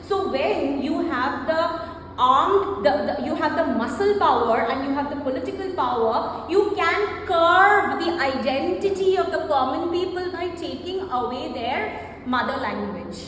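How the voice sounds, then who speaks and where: A woman teaching a classroom lecture, explaining at length, restating her points and filling pauses with "uh". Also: sits high, animated, a bit bright. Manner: explanatory, repeats and rephrases, frequent fillers. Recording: echoey classroom, faint noise.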